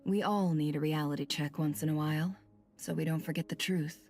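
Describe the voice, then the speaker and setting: A shrewd video game businesswoman, pitched on the low side. Her delivery is measured, cool and wry, with faint music underneath. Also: fairly even intonation, a little mellow. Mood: sly, composed. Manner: measured, sardonic. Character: shrewd, cool, wry woman boss and deal-maker. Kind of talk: scripted video game voice acting.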